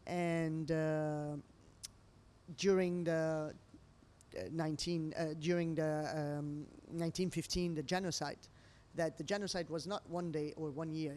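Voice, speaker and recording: Expressive woman telling stories. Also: sits low, measured pace. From Lebanon. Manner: expressive storyteller with non-native English phrasing. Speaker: restaurant owner, interview guest. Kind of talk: conversational interview over a meal. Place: restaurant.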